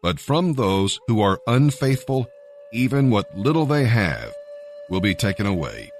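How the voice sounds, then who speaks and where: A man's voice: on the low side, very animated, unhurried, darkish tone, slight background noise. A man voicing a wealthy, authoritative master addressing his servants in a scripture story. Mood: stern, condemning.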